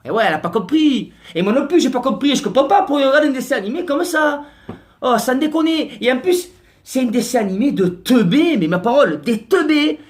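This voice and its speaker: A man's voice: extremely high pitch, expressive, fairly quick. A man doing a slangy, exasperated comic rant to camera. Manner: slangy, exasperated, mock-outraged. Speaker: comic vlogger.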